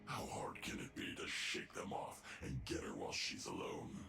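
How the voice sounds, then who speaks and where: An actor playing a menacing, calculating villain in a video game, with a gravelly, sometimes breathy voice and a smug, condescending manner. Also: male voice, a bass voice, sing-song, moderate pace, bright, forceful tone, echoey room, soft background music, heavily acted. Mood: smug menace. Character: menacing, calculating male villain of a tech-stealing faction.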